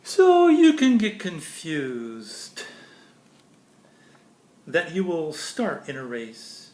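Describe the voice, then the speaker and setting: A man reading a children's picture book aloud expressively, with pauses between phrases and chatty explanations to listeners. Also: on the high side, huge pitch swings, darkish tone. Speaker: storybook reader. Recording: echoey room.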